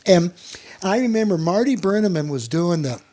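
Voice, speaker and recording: A man's voice: high-pitched, animated, normal speed, dark tone. A man hosting a sports talk show, telling anecdotes in casual chat and often repeating words as he goes.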